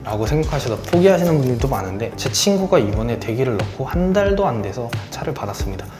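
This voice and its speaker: A man who sells cars delivers a courteous, persuasive pitch, keeping his voice low because his throat is sore. His speech bounces around a roomy space. A music bed runs clearly underneath. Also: big pitch swings, quick pace. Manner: polite, persuasive salesman's patter. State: sore throat, speaking lower than usual. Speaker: car dealer. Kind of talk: sales pitch explaining monthly car promotions. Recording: roomy echo with background music.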